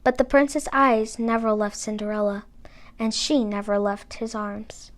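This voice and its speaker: A woman narrating a fairy tale as a storybook reader, with expressive delivery. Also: fairly high pitch, a little slow.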